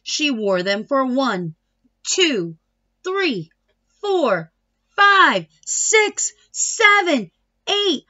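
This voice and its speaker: A woman's voice: sits high, big pitch swings, extremely slow, sharp tone, dull audio. A middle-aged woman reading a children's picture book aloud expressively, stretching words for emphasis, on a home webcam. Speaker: read-aloud storyteller. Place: small wood-panelled room at home.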